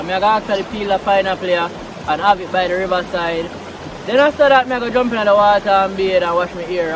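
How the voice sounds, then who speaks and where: Relaxed Jamaican vlogger, a man with a high voice and a Patois accent, filmed outdoors by a river with noisy water behind. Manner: relaxed, chatty, mixing Patois into English. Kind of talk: casual vlog talk outdoors.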